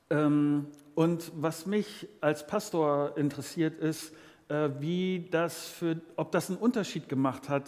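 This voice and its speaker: Halting, higher-pitched male pastor in reverberant hall. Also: darkish tone. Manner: hesitant, searching for words, halting phrasing. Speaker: pastor hosting an interview. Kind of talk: informal live interview before a congregation.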